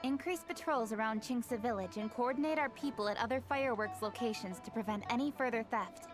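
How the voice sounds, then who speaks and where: Woman playing a high-voiced, dutiful young noblewoman. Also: brisk, close to an everyday voice. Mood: businesslike. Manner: formal, businesslike, commanding. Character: dutiful young noblewoman official, brisk, businesslike leader. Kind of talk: animated video game voice acting. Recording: clear music behind the voice. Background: music.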